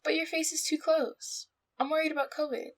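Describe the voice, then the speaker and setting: A woman's voice: high-pitched, level tone, brisk, close to an everyday voice. A young woman playing a defiant, protective eighteen-year-old big sister in a fan dub, with a sassy, exasperated manner. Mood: annoyed, defiant. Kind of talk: fan-dub dramatic acting.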